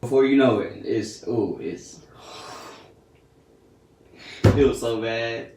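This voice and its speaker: A man's voice: mid-range voice, extremely slow, a little mellow, echoey room, distant mic, slight background noise. A teenage boy telling a story to camera in a casual, slangy, chatty way. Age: teens. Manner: laid-back, slangy and chatty. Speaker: vlogger.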